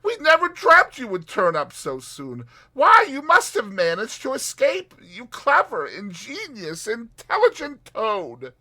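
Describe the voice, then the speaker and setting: A man's voice: very high voice, huge pitch swings, measured pace, few pauses, sharp tone, pitched above the performer's own voice, full character voice. A man voicing a good-hearted storybook mole with a homely, exclamatory way of talking. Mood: delighted.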